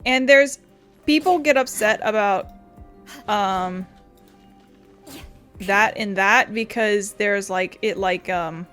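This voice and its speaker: A woman's voice: mid-range voice, slow pace, long pauses, fairly bright tone, clean tone. A woman giving casual, rambling let's play commentary as a gaming streamer. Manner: rambling, informal. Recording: game music behind the voice.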